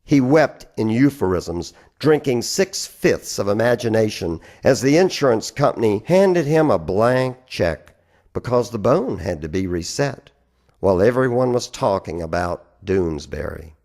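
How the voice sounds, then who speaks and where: A man performing a dramatic spoken-word poetry reading, phrasing deliberately and with theatrical emphasis. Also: fairly low pitch, very animated, a little mellow. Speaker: reader of poetry.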